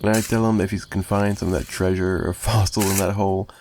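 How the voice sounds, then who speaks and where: A man's voice: deep voice, flat delivery, fairly quick, a little mellow, lightly acted. A man playing a possessive, menacing mafia boss in an ASMR roleplay, taunting the listener with theatrical mock sweetness and threats. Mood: gleeful, sinister. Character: possessive, menacing young male mafia boss, obsessive kidnapper. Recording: noticeable background noise.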